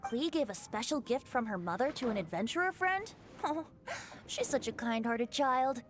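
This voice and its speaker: A boyish, playful bard from an anime game voiced by a woman, with a high voice that swings expressively. The delivery is coy, teasing and theatrical, with music behind it. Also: lively intonation, normal speed, natural voice. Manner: theatrical, coy, sing-song. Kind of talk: anime video game voice acting.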